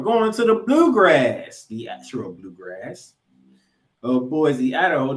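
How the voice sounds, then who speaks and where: A man co-hosting a sports podcast, previewing games and picks with drawn-out, emphatic announcer-style introductions. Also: on the high side. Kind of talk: college football game previews and picks. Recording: roomy, echoey sound.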